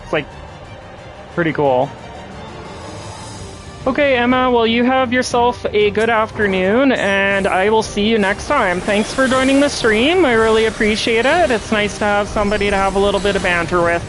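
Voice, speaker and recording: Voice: medium pitch, normal speed, rough recording. A game streamer with a nasal voice, chatting casually while playing. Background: music.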